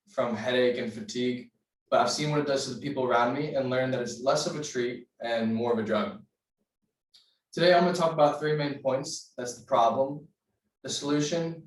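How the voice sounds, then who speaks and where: A man's voice: mid-range voice, a little hiss or hum. Earnest young man, distant roomy mic. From United States. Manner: earnest, cites figures, occasional restarts. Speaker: student giving a class speech. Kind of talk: persuasive classroom speech.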